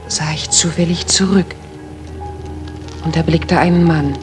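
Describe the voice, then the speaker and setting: A woman's voice: low-pitched, steady tone, unhurried, music in the background. An actress playing a worried, polite young lady in a German radio drama, recounting carefully and earnestly.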